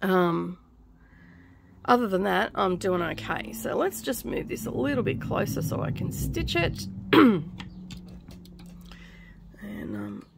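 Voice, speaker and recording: A middle-aged woman hosting a craft vlog in casual chat, with a slightly croaky, scratchy voice, dry and tired after illness. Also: mid-range voice, sing-song, takes it slowly, pauses often. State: recovering from covid, tired, dry throat. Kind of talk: casual stitch-along chat. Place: small indoor craft room.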